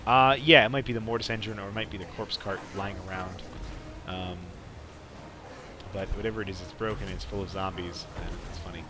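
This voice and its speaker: A man's voice: low-pitched, fairly even intonation, a little fast. A man casually commentating on gameplay as a podcast host, in a rambling, hesitant way. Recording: clearly noisy background behind voice.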